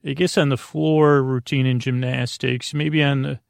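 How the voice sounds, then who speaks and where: Man, creaky gravelly voice, dry close recording, halting meandering delivery, lulling bedtime podcast host. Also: mid-range voice, flat delivery, no echo. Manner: meandering, halting, hesitant, self-deprecating; lulling, soothing. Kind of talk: rambling bedtime podcast monologue.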